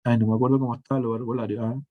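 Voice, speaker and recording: A male teacher, walking through a software demonstration step by step and often restarting his sentences mid-thought. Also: medium pitch, level tone, racing, narrow, tinny audio. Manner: step-by-step explaining, frequent self-corrections and restarts. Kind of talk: screen-share software tutorial.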